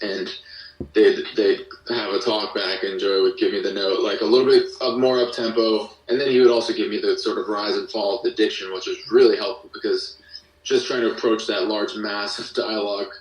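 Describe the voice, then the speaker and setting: A man's voice: fairly low pitch, fairly even intonation, a little fast, slight background noise. A man chatting casually as an interview guest, explaining things in an informal, thoughtful way. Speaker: podcast guest, musician and audio drama creator. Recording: echoey room, distant from mic.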